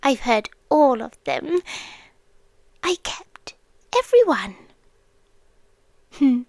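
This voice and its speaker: An obsessive British yandere girlfriend, acted by a woman, high-voiced and breathy, slipping between whisper and soft speech. She speaks very slowly and possessively, right up close in a dry, intimate ASMR recording. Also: very animated, dry recording, heavily acted. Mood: creepy tenderness. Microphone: close, dry microphone.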